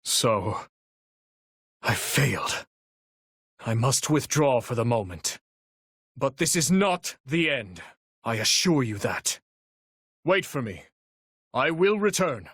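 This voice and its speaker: A man's voice: medium pitch, sing-song, a bit bright, slightly rough, no room sound, clean studio sound, heavily acted. A man voicing a noble, earnest young knight-commander in a video game, speaking formally and solemnly with resolve. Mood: defeated, sorrowful, then strained, determined. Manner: formal, measured, earnest delivery. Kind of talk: dramatic video game voice acting.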